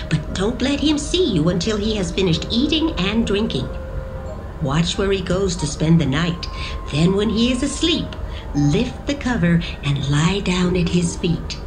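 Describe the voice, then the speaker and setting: A woman playing a caring, directing older widowed mother-in-law in a dramatised audio Bible. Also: fairly low pitch. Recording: music behind the voice.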